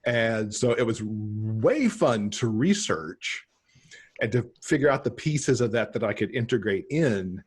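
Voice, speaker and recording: A man's voice: fairly low pitch, animated, measured pace, slightly rough. A middle-aged American man from Michigan chatting casually as a guest author on a video call. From Michigan, United States. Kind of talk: casual interview chat. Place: indoor room, video call.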